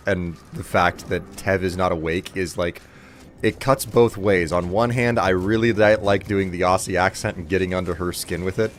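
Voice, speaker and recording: A married man streaming a game, rambling casually and self-deprecatingly with halting restarts. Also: low-pitched, steady tone, a little hiss or hum. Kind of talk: casual gameplay commentary.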